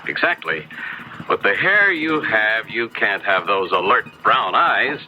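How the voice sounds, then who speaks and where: A man's voice: fairly low pitch, sing-song, over music. An actor playing a genial male make-up artist who muses and halts as he works, in a 1970s radio drama. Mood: absorbed, musing. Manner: musing, halting, then exclamatory. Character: genial, brisk make-up artist absorbed in his craft. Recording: noisy, dull old radio recording.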